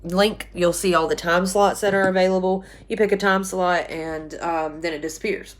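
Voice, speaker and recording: Woman in her twenties, low voice, slightly hoarse, rambling teacher recording a class update on her phone. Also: steady tone, moderate pace. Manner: chatty and rambling, many ums and restarts. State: slightly hoarse from a sore throat. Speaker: college writing instructor. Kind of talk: casual recorded class announcement. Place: small bedroom at home, indoors. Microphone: phone camera at arm's length.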